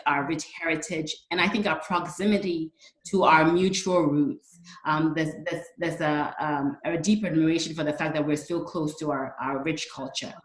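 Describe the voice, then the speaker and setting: Female panelist, thirties, low voice, measured explanatory delivery, echoey room. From Ghana. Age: 30s. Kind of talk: considered panel remarks.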